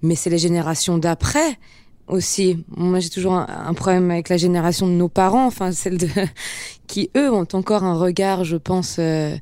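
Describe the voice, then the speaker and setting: Frank young woman, smooth low voice. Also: fairly even intonation, dark tone, no echo. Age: young adult. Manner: frank, laughing, quick casual slang. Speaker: actress, interview guest. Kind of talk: casual interview talk.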